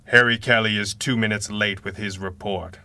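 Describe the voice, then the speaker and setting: A man's voice: on the low side, fairly even intonation, normal speed, pushed and bright, dry recording, faint music behind. A man playing a guilt-ridden masked crime fighter in a 1930s-style radio adventure, speaking with formal, earnest, dramatic diction. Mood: grave, resigned.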